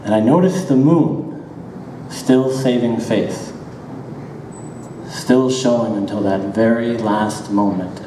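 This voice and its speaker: A man giving a dramatic, deliberate literary reading of first-person prose, with drawn-out pauses and rhythmic repetition. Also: mid-range voice. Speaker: author reading own work aloud. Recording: very echoey hall, distant mic, background noise.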